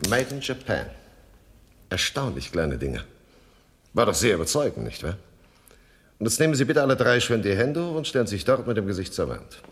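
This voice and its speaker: Smooth-talking, lively gentleman crook. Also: fairly low pitch, normal speed, pauses often, full character voice. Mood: smug, commanding. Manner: polite, mocking, eloquent. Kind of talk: 1970s German radio crime comedy acting.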